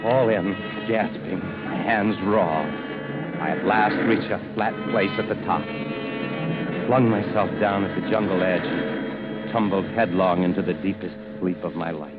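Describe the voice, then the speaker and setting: An actor playing a cool-headed American adventurer, earnest and well-mannered, in 1940s radio drama style. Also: male voice, deep voice, measured pace, over loud music. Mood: grim, tense recounting. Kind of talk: 1940s radio acting and first-person narration. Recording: muffled, very noisy vintage radio recording.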